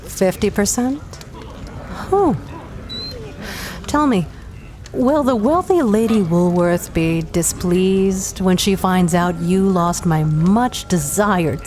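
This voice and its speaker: A woman's voice: fairly low pitch, slow, a little mellow, a little hiss or hum. A woman playing a tough-talking, wry 1930s mercenary adventuress in an audio drama. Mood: firm, sardonic. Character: hard-bitten, sardonic 1930s adventuress mercenary for hire. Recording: music behind the voice.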